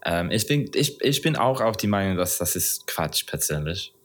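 A man's voice: deep voice, big pitch swings, moderate pace. A man from England with an English accent, a non-native speaker chatting casually as an interview guest and often searching for words. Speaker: podcast guest.

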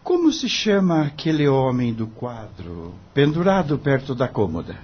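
A man's voice: mid-range voice, big pitch swings, moderate pace, breathy edge. An actor playing an earnest, polite young male lawyer in a radio drama. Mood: respectful curiosity. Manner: polite, deferential. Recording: dull, lo-fi radio recording.

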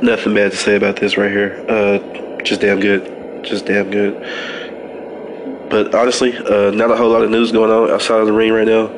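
A man's voice: fairly low pitch, completely flat delivery, normal speed, background noise. A man with a stuffy nose hosting a casual wrestling podcast, rambling with frequent restarts and fillers. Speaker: podcast host.

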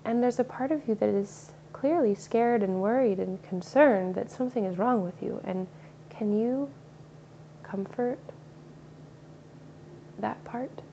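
A woman leads a guided meditation in a mellow, soothing voice, speaking softly and unhurriedly. The recording is a little dull, with a faint hiss of ambient noise behind her. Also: a little high, creaky. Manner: slow, soothing, soft-spoken.

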